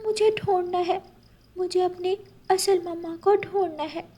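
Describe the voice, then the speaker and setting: Woman voicing a high-pitched little boy bird. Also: fairly even intonation, unhurried, mellow. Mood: hurt, pleading. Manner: pleading, childlike. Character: young boy bird, earnest adopted child. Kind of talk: Hindi children's cartoon voice acting.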